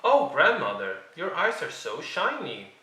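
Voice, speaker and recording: Timid storybook little girl done by a male reader, earnest, raised pitch, slightly distant from mic. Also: wildly swooping pitch, unhurried, sharp tone, heavily acted. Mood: timid wonder. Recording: roomy, distant microphone.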